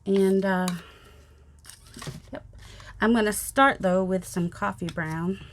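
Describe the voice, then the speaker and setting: A woman's voice: mid-range voice, slow pace, slight background noise. A woman in her forties with a youthful, childlike voice, chatting casually with rambling, self-teasing asides while she paints at a home craft table. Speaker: mixed media artist. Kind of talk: casual crafting vlog narration. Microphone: overhead camera, hands only.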